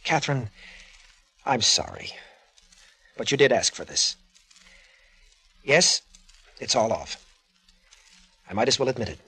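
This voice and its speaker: An actor playing a cold, impatient painter, curt and weary, in a 1950s radio drama. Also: male voice, mid-range voice, big pitch swings, unhurried, pauses often, bright, forceful tone, husky, full character voice. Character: cold, impatient male painter ending his engagement. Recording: vintage 1950s radio broadcast recording.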